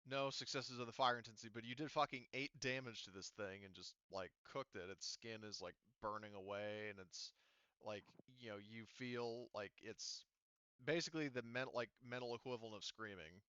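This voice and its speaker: Male game master, bright clean voice, close dry sound, loose and sweary casual narration. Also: mid-range voice, normal speed, clean tone, dry recording, muffled recording. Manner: informal, profane.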